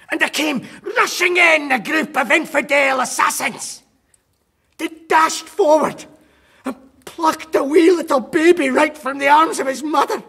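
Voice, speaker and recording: A zealous crusade preacher in a historical radio drama. He declaims slowly in a very high, gravel-edged voice, fervent and theatrical, over a murmuring crowd. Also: sharp tone, full character voice. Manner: slow, fervent, theatrical oratory. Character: fanatical male crusade preacher whipping up a crowd.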